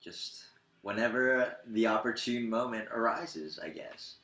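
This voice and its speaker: A man's voice: fairly low pitch, unhurried, pushed and bright. A man giving casual answers in an interview. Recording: echoey room, faint noise.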